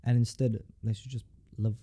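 A young man with a South African accent, a university student chatting casually with emphatic, animated energy and casual swearing. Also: medium pitch, normal speed. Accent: South African English. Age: young adult. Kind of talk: casual chat.

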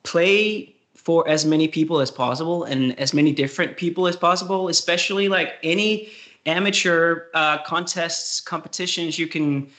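Danish-accented young man, slightly high voice, rambling, hesitant, casual interview talk. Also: normal speed, fairly bright tone. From Denmark. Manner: rambling, hesitant, frequent fillers and laughs. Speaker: guest singer-songwriter.

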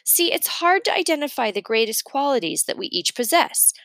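A woman voicing a kind, quirky, encouraging woman teacher in a storybook reading, animated and gushing. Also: high voice, fairly quick, creaky, dry recording, pitched above the performer's own voice, exaggerated voice. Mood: encouraging, bright.

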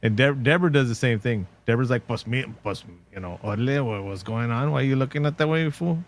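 A man's voice: medium pitch, fast talker. A man co-hosting a podcast, joking and often stammering on restarts. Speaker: podcast co-host. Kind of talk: casual podcast chat.

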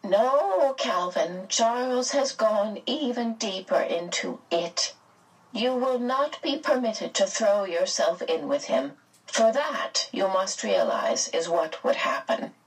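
A woman voicing a calm, grave female guardian being in a storybook, measured, firm and regretful. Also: medium pitch, breathy edge, far from the mic, voice pushed up, rough recording.

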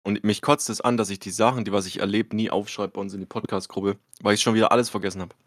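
Low-voiced chatty podcast man. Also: fairly even intonation, fast, no echo, clean studio sound. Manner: chatty, rambling storyteller, argues details. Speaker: podcast co-host. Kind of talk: casual chat.

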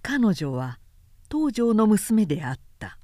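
A woman narrator giving a measured, dramatic reading of scripted historical literature. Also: mid-range voice, big pitch swings, mellow, no room sound, studio quality.

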